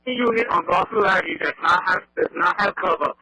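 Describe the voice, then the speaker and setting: Fast, bright-voiced man on police radio. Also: sits high, slightly rough, no room sound, poor audio. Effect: two-way radio. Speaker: police officer on scene, coordinating units. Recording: police scanner radio transmission.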